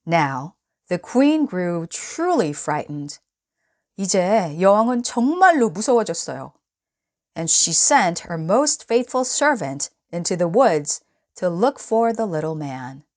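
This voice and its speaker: A woman, a native Korean speaker, reading a children's story aloud bilingually in an expressive, deliberate storytelling manner. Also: on the low side. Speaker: storybook reader and translator.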